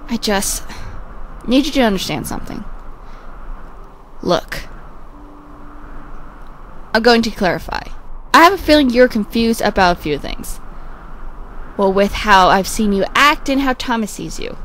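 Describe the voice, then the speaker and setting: A woman voicing a frank, world-weary male character in an amateur comic dub, blunt and halting as she explains. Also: on the high side, expressive, a bit bright, a little hiss or hum.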